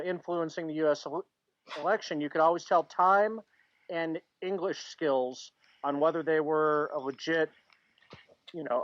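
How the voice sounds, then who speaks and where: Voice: mid-range voice, a little hiss or hum. A podcast co-host chatting casually, rambling with lots of "you know" fillers. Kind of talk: casual chat. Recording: slightly dull lo-fi audio.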